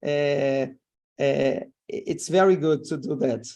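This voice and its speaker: A man's voice: on the high side, takes it slowly, vocal fry, warm tone. A man who is a professor hosting a webinar, speaking informally with hesitant, repetitive phrasing as he searches for words. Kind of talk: informal spoken introduction.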